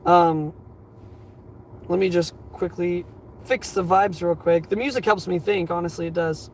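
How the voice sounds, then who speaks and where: A man in his twenties hosting a livestream, giving earnest advice in a rambling, halting way full of fillers, his voice a little scratchy and tired. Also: high-pitched. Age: 20s. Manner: earnest, reassuring. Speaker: livestream host.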